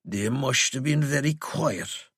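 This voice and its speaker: A man voicing an eccentric, rambling old man with a thick Kerry Irish accent, drawling slowly and whimsically. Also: medium pitch, a bit bright, husky, no echo, clean studio sound.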